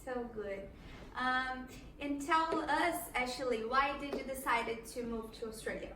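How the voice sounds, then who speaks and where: A woman's voice: high voice, a little slow, pushed and bright. A woman from Brazil, a native Portuguese speaker, hosting a casual interview in English in a friendly, slightly hesitant way. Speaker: host. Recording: roomy echo, mic at a distance, faint background hum.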